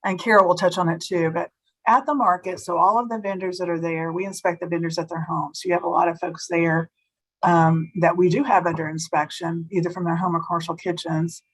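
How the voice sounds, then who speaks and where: A woman giving a food safety presentation at a webinar, slightly hoarse and explaining step by step with small restarts. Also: on the low side, level tone, fairly quick, low-quality recording. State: slightly hoarse, losing her voice.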